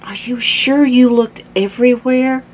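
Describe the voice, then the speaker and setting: A woman's voice: on the high side, steady tone, unhurried, slight background noise, pitched above the performer's own voice, lightly acted. A woman voicing a concerned mom in a storybook, with an anxious, questioning manner.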